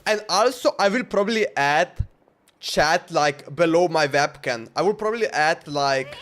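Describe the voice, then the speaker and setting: High-pitched man chatting on a livestream. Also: lively intonation, unhurried, a bit bright. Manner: informal, repeats himself. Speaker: live streamer. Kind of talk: casual game livestream chat and reactions.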